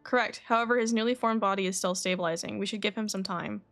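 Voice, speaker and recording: A woman voicing a composed, scholarly young male alchemist from a video game, reading his dialogue in a measured, formal, reflective way. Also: medium pitch, fairly bright tone, soft background music, natural voice.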